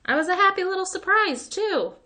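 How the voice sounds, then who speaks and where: A woman voicing a naive, friendly schoolboy from a children's book, earnest and excitable. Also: very high-pitched, fairly quick, voice pushed up, heavily acted. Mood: gleeful. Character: naive, friendly, eager little schoolboy, proud and trusting.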